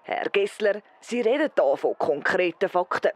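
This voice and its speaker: A woman's voice: mid-range voice, animated, moderate pace, close to an everyday voice. A woman playing an earnest, persistent field correspondent in a parody news report, formal and dramatic, with a Swiss German accent. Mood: probing.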